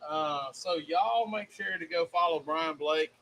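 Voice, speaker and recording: A Southern man from Mississippi, telling stories and laughing as he co-hosts a relaxed talk show. His voice sits high and sounds bright and forward, with a faint hiss behind it. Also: unhurried, rough recording. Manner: folksy storyteller, laughs often. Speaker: co-host. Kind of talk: casual chat.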